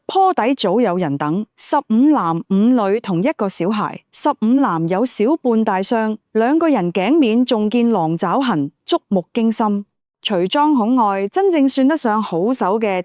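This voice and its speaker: Lively, high-pitched woman narrator. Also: dry recording, clean studio sound. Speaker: audiobook narrator. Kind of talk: scripted novel narration.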